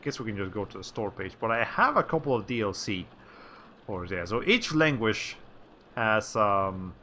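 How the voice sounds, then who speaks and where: A man's voice: fairly low pitch, big pitch swings, normal speed, sharp tone, a little hiss or hum. A man from Latin America streaming a game, chatting casually in a rambling, self-correcting way with wry asides. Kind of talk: casual chat while playing a game.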